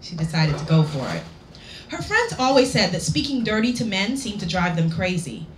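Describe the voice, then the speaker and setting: A woman reads her story to a live audience with lively, swooping pitch and theatrical pauses. Her delivery is playful and expressive. The recording is roomy, with some background noise. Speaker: author reading own work. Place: indoor lounge at a public reading event.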